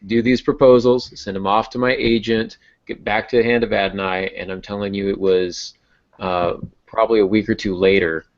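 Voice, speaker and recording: Low-voiced man on a muffled recording. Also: fairly even intonation, normal speed. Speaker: novelist and podcast host. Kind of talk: casual podcast talk about writing. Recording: dull, band-limited audio.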